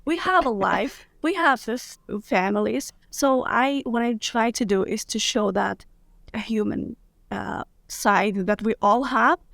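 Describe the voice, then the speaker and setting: Gravelly-voiced woman lawyer guest, dry sound. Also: a little high, slow, a bit bright, dry recording. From Morocco, grew up in Spain. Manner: upbeat, candid, laughs easily. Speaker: guest, international business lawyer. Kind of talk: casual interview conversation.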